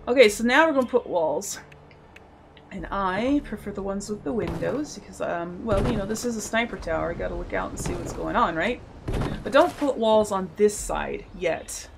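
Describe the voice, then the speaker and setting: A woman hosting a game channel, slightly hoarse from a cough, explaining a building project step by step in a friendly, casual voice-over. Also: medium pitch, a little hiss or hum. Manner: friendly step-by-step explaining, occasional throat clearing. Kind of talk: casual tutorial narration over gameplay.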